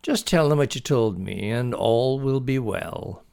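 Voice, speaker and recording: A man voicing a calm, sceptical father with a measured, drawling manner in a story. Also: medium pitch, gravelly, clean tone. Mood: reassuring. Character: calm, sceptical middle-aged father, reassuring and unhurried.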